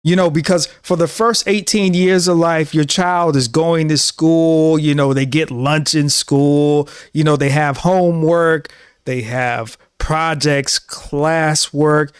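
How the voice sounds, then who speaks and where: Man in his thirties, preachy monologue, repetitive, slightly high voice, dry sound. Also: fairly even intonation, slow, smooth, no room sound. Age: 30s. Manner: earnest, preachy, repeats himself. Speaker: show host. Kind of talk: casual monologue to camera.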